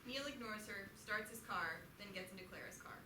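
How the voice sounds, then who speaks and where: A woman's voice: medium pitch, brisk, background noise. Woman reading stage directions matter-of-factly. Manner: flat, matter-of-fact reading. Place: theatre auditorium. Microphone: distant stage pickup. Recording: echoey theatre taped from far off.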